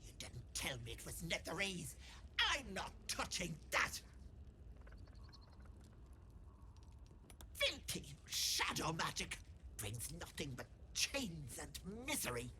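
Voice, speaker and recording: A woman's voice: on the low side, huge pitch swings, unhurried, pushed and bright, a little hiss or hum, full character voice. An actress playing a cruel, wheedling old hag in a video game, folksy and scolding, with menace in her endearments. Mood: disgusted, furious. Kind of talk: video game character acting. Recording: game music behind the voice.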